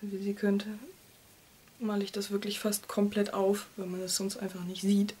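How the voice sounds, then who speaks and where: A woman's voice: medium pitch, monotone, normal speed, a little mellow, slight background noise. A young woman with a cold, chatting casually and self-deprecatingly while doing her make-up in a small bedroom, recorded on a webcam. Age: young adult. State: has a cold. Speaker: vlogger. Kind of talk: casual make-up vlog chat. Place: small cluttered bedroom, indoors. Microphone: webcam at desk.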